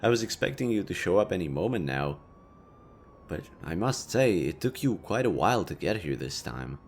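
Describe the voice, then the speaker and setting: A man's voice: low voice, sing-song, moderate pace, slight background noise. A man playing a smug, sardonic city supervillain with a caring streak, speaking to the listener in a casual, sweary audio roleplay. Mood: taunting, exasperated. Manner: taunting, casual, sweary, theatrical.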